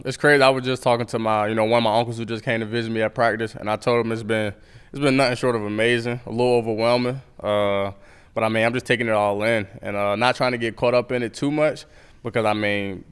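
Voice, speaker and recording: A young man in his twenties, a football player guest, talking in a relaxed, slangy way and laughing easily. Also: lowish voice, quick pace, smooth. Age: 20s. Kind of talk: casual interview chat.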